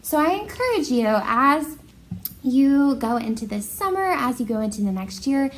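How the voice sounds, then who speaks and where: Animated woman reading to children. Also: high voice, moderate pace, rough recording. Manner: expressive, encouraging, addresses children directly. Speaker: art teacher reading to pupils. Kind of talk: children's picture book read-aloud and encouraging talk.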